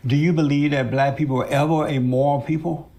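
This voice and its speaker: A man's voice: a little high, fairly even intonation, brisk, warm tone. A man hosting a confrontational debate interview, pressing his guest with repeated pointed questions. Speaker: show host.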